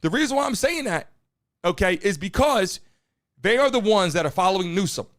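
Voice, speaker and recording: Brief: high-pitched guy hosting a live stream, brash hype-man who keeps calling out to his chat. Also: expressive, a little fast, fairly bright tone. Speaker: live-stream show host. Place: home studio in Miami.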